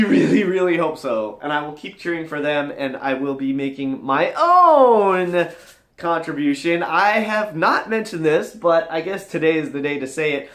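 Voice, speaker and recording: An upbeat, high-energy man chatting casually as a vlog host, his voice moving animatedly up and down. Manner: animated, high-energy, rambling with swooping register. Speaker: vlogger and host. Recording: echoey room.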